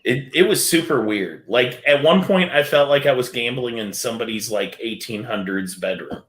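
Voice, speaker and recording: A man's voice: medium pitch, moderate pace, a bit bright. A man on a panel chat, telling a laid-back story. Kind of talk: casual chat. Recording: roomy echo.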